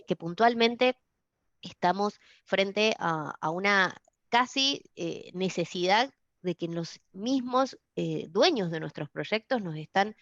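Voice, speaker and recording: A woman's voice: mid-range voice, no echo, dull audio. A woman from Argentina giving a webinar presentation, speaking with many fillers and restarts. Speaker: software company presenter.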